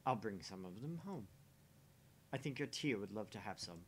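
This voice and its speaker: A man voicing a gruff, sardonic young man in dialogue read aloud from a visual novel. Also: lowish voice, lively intonation, moderate pace, heavy background noise. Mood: calm, softening.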